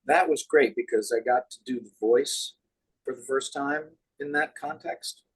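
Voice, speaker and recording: A man with a deep voice, chatting casually as an interview guest and reminiscing in an animated storytelling way. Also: a little slow, poor audio. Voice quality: deep, husky. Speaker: guest, puppeteer.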